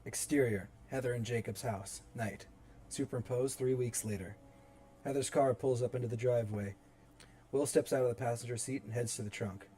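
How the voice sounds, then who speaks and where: A man's voice: medium pitch, fairly even intonation, moderate pace, dark tone. A man reading stage directions as narrator in a dramatic screenplay table read. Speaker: narrator and reader.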